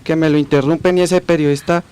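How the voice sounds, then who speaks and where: A man's voice: a little high, steady tone, fast, darkish tone, clean tone, close to an everyday voice. A man playing a folksy, aging, poor Colombian who reminisces colloquially in an amateur radio drama. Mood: folksy, reminiscing.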